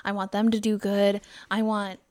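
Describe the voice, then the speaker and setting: A woman's voice: mid-range voice, level tone, moderate pace, darkish tone. Woman in casual, emphatic podcast chat. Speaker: podcast co-host.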